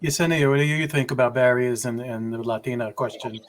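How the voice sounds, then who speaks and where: A man's voice: medium pitch, a little fast. Casual man moderating a panel. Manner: stumbling, casual questions.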